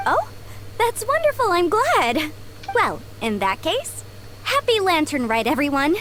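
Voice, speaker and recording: A woman voicing a bright, earnest and polite young woman in a video game. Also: very high voice, very animated, measured pace, a little hiss or hum, heavily acted. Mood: surprised, bright. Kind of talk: video game character dialogue.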